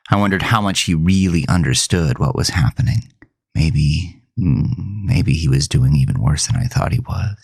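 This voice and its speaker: A man's voice: a bass voice, creaky, no room sound, clean studio sound. A man narrating a first-person horror audio drama in a somber, literary storytelling manner. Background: music.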